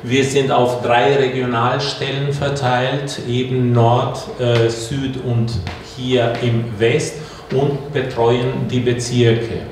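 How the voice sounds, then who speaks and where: A man from a city community service giving slow, measured opening remarks to an audience. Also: medium pitch, level tone. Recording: distant, very echoey hall, faint noise.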